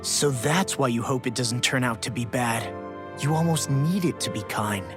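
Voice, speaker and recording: A man's voice: mid-range voice, normal speed, lightly acted. A man playing a sincere, loyal and slightly bashful young fighter in a video game cutscene. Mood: probing, gentle. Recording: music behind the voice. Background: music.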